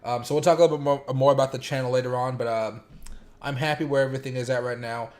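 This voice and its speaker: Man with a sore, raspy streaming voice. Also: mid-range voice, steady tone, quick pace, a little mellow. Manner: rambling, filler-heavy, talks to chat viewers by name. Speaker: crypto YouTuber and streamer. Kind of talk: casual livestream chat with chart commentary.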